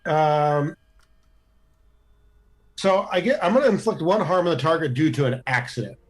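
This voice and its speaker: A man's voice: fairly high pitch, lively intonation, moderate pace. A man playing a tabletop game, chatting casually and thinking his moves through aloud in a hesitant, rambling way.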